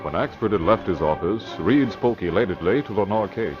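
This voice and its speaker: A man's voice: fairly low pitch, lively intonation, a little fast. A man narrating a vintage radio crime drama in a formal scripted storytelling style. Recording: dull vintage radio recording. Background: music.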